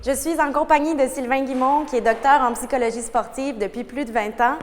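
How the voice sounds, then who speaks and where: A woman interviewing a guest, asking brisk, prepared questions. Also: high voice, steady tone, soft background music. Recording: reverberant room with faint noise.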